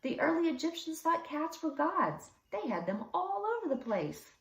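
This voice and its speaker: Storybook boy voiced by a woman: eager, insistent, animated pitch, roomy recording. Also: moderate pace, recorded from a distance. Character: eager, earnest little boy with bold ideas.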